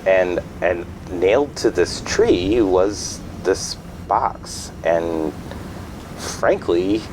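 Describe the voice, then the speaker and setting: An actor playing a bewildered man in a naturalistic audio drama, speaking haltingly and repeating his words. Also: low voice, background noise. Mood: hesitant, uneasy. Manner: hesitant, stammering, repeats words. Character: bewildered, halting man living alone in a rural house. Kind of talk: naturalistic fiction podcast acting, conversational.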